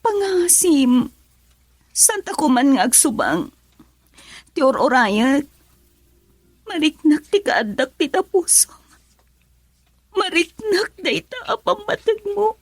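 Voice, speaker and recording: A woman's voice: sits high, sing-song, slow, long pauses, dry recording, exaggerated voice. A woman playing a young wife who is hurt and pleading with her husband in a radio drama. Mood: tender, pleading.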